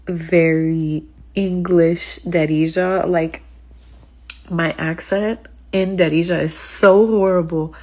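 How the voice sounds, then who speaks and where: Stuffy-nosed woman chatting casually. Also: lowish voice. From United States. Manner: rambling, chatty, frequent "like" and "um". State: congested, sick with sinus trouble. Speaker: streamer answering chat. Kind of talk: casual live stream chat.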